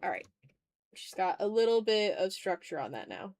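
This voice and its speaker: A woman's voice: mid-range voice, big pitch swings, normal speed, vocal fry, a little mellow, dry recording, clean studio sound. A woman chatting casually on an art livestream while drawing, talking to her viewers in an informal, joking, mildly sweary way. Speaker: livestream host, illustrator.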